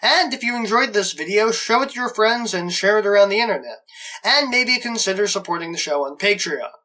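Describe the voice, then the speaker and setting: A man's voice: high-pitched, animated, brisk, pushed and bright. A man hosting a review show, giving enthusiastic scripted commentary in a gushing, emphatic way.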